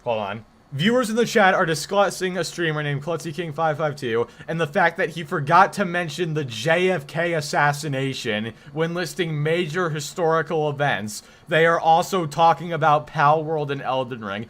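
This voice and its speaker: A deep-voiced man of twenty hosting a casual live stream, answering his chat with rambling, joking banter and mock defensiveness. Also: lively intonation, normal speed, fairly bright tone. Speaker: livestream host.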